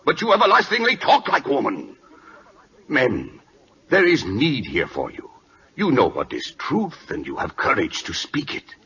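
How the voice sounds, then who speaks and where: Intense, proud minister. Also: male voice, medium pitch, huge pitch swings, a little slow, pushed and bright, full character voice. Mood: proud pleading. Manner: formal, pidgin-English phrasing. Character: earnest, proud Siamese prime minister, loyal man. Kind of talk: 1940s radio drama acting. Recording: dull old radio recording.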